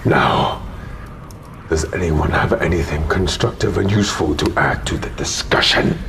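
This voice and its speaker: A man voicing a cold, imperious older tyrant king in a fantasy radio play, speaking in a slow, measured, sardonic and commanding way. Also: rumbling low, gravelly, noisy background. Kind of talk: fantasy radio theater acting. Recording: loud music behind the voice.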